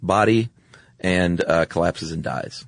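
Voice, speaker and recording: A man's voice: deep voice, slow pace, creaky, dry recording, studio quality. A man co-hosting a podcast, recounting stories in a casual, wry conversational style. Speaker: podcast co-host.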